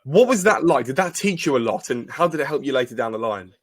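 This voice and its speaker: A man's voice: mid-range voice, animated, fast. A man hosting a podcast, introducing his guest and asking questions in an upbeat way. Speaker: podcast host. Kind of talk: interview questions.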